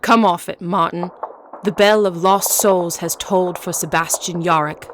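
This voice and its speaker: A man's voice: sits high, fairly even intonation, moderate pace, noisy background, natural voice. A man playing a skeptical, blunt soldier in a dramatic reading. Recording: battlefield ambience behind.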